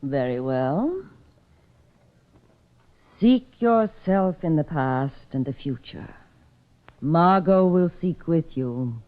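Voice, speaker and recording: Slow, grandly mysterious fortune-teller. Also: female voice, low-pitched, expressive. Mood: mystical, intoning. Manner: grand, portentous, slow-spoken. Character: haughty, theatrical phony female fortune-teller and mystic. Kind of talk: old-time radio drama acting. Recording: old radio recording, narrow tinny band.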